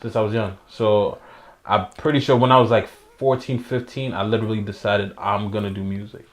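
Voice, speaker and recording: A man's voice: lowish voice, fairly even intonation, a little fast. A young man born in Ethiopia and raised in New York, talking casually and affirmingly as an interview guest in a small studio. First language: French. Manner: laid-back, affirming, frequent "you know what I'm saying". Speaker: musician guest. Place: small studio room with art on walls, indoors.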